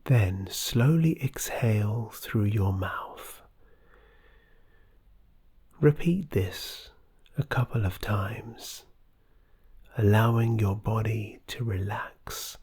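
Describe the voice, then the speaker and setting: A low-pitched meditation guide speaks softly and very slowly, leaving room between phrases. The voice is husky and breathy with a creaky edge, soothing and often close to a whisper. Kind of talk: scripted guided meditation narration.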